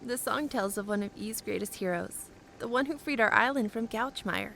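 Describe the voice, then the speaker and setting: A woman's voice: fairly high pitch, normal speed, gravelly, a bit bright, a little hiss or hum, poor audio. A woman playing an earnest, wistful young airship pilot in a fantasy audio drama. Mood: wistful, confiding.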